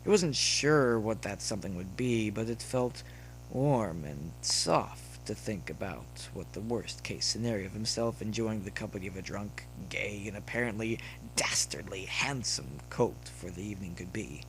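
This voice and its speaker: A man reading a comic story aloud as scripted, expressive narration. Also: lowish voice, normal speed, slight background noise, poor audio. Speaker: narrator reading fan fiction.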